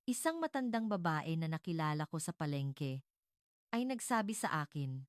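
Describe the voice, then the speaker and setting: A woman reading a scripted dramatic narration in a measured, earnest storytelling manner. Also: sits low, sing-song, clean tone, dry recording, studio quality.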